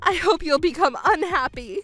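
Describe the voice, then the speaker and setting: A woman acting a shrill, hysterical, self-pitying mother. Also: lively intonation, moderate pace, fairly bright tone, faint music behind. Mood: indignant, wounded. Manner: shrill, whiny, indignant protesting. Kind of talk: melodramatic dubbed story acting.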